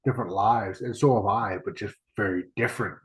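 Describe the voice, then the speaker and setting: A man's voice: lowish voice, brisk. A middle-aged man co-hosting a casual podcast with his daughter, cracking dad jokes and laughing often. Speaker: podcast co-host, trainer. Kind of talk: casual chat. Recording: dull lo-fi online audio.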